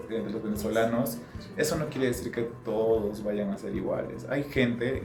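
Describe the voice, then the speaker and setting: A young man speaks in a low register, leading a student discussion and rephrasing himself as he thinks. His voice rings in an echoey room with music playing behind him. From Peru. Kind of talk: group discussion.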